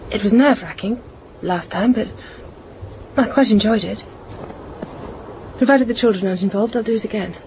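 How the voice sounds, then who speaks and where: An actress playing a brisk, wary, quietly daring British housewife and mother in a radio drama, composed and dry, with old-fashioned diction. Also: medium pitch, a little hiss or hum, close to an everyday voice.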